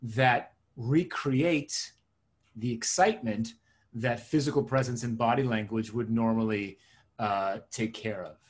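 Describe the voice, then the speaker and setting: Male interview guest, middle-aged, native Hebrew speaker raised in New Jersey. Husky edge, mid-pitched, reflective, repeats phrases for emphasis. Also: measured pace. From born in Israel, raised in suburban New Jersey. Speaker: interview guest, tech consultant and coach. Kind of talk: conversational interview answers.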